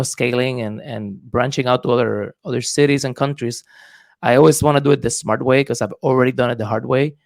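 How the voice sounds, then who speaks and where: A man's voice: mid-range voice, vocal fry, no room sound. A man from Puerto Rico with a Puerto Rican accent, talking as a podcast guest in a thoughtful, hesitant way with many fillers. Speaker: guest, real estate investor. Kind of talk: casual podcast interview.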